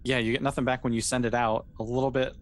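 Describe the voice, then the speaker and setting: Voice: mid-range voice, little pitch movement, quick pace. A game master narrating an improvised roleplaying scenario in a casual, conversational way.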